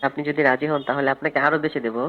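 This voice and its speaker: A man's voice: mid-range voice, fairly even intonation, rapid-fire, natural voice. A man playing a wealthy, politely insistent client in a staged phone call, talking in a hesitant, roundabout, persuasive way. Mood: eager, coaxing. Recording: tinny phone line with music behind.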